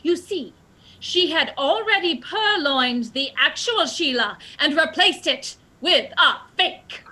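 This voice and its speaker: A woman's voice: high voice, expressive, takes it slowly, sharp tone, heavily acted, poor audio. A woman acting as a self-assured, theatrical lady detective in a comic radio play, declaiming pompously. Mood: commanding, triumphant. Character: theatrical female amateur detective explaining a murder, self-assured. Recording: music behind the voice.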